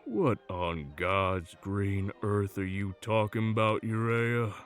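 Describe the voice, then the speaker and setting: A man playing a brash, combative loudmouth with a defiant drawl in an audio drama. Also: fairly low pitch, takes it slowly, faint music behind. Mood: groggy, confused. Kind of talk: audio roleplay drama acting.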